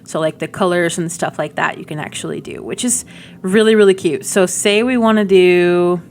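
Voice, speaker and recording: Chatty woman, lowish voice. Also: moderate pace, a little mellow, a little hiss or hum. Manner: rambling, giggly asides, cutesy slang. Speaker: gaming video creator. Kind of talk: casual, chatty game demo commentary.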